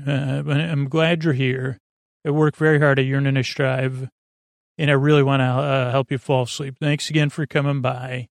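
A middle-aged male podcast host talks listeners toward sleep in a creaky, fry-laden voice at a medium pitch. He meanders and hesitates, often starting a phrase over or drifting off mid-thought. The sound is very dry, with no room echo. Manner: meandering, hesitant, trailing off and circling back. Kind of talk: rambling bedtime monologue to help listeners sleep.

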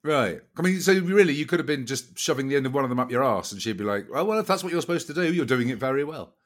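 A quick-talking man whose pitch swings all over as he rambles through jokes with his co-host. His voice is a little croaky. He keeps it loose and playful, like a casual comic podcast. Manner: rambling, jokey, animated. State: slightly croaky voice.

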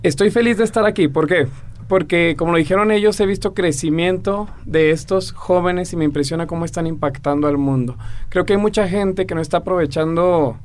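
A man's voice: high-pitched, fairly quick. A man in his twenties, a personal coach, speaking in an upbeat, motivational way on a casual podcast. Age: 20s.

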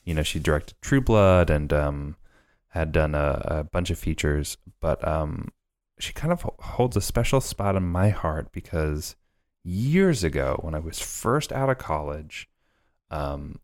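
Deep-voiced enthusiastic podcast host. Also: male voice, expressive, a little slow. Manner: chatty and enthusiastic, many fillers. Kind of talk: casual conversational podcast chat.

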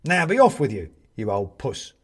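A man's voice: mid-range voice, huge pitch swings, moderate pace, slightly rough. A man voicing a bold male ghost of an old Londoner in an audiobook, calling out in a cheery, colloquial way. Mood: shouting defiance. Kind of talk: audiobook character voice.

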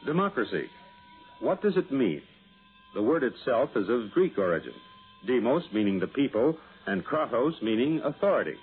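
A man's voice: medium pitch, big pitch swings, moderate pace, breathy edge. A man reading a scripted public-service piece as a radio announcer, formal, didactic and declamatory. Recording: muffled vintage radio broadcast with music.

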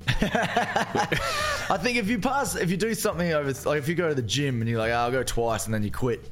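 An Irish man co-hosting a comedy podcast, playful and quick to laugh in casual chat. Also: on the high side, over music. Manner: playful, giggly, swoops into silly voices. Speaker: podcast co-host.

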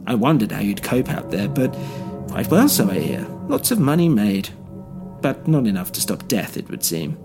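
A man voicing a smug middle-aged male villain who talks in a mocking, gloating, drawn-out way. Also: fairly low pitch, sing-song, over music. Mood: coaxing.

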